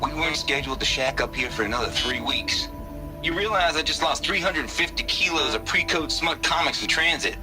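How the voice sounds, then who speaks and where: An actor playing a cynical, wisecracking scientist in a video game, with a folksy, sardonic drawl. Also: male voice, a little high, a little fast, close to an everyday voice. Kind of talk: video game dramatic acting. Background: music.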